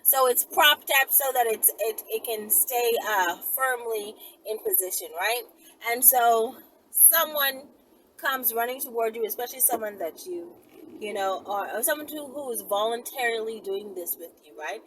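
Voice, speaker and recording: A woman's voice: on the high side, expressive, moderate pace, fairly bright tone, slight background noise, rough recording. A woman talking casually in a rambling, conversational solo vlog, thinking aloud with emphatic phrasing. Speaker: vlogger. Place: inside a vehicle in traffic.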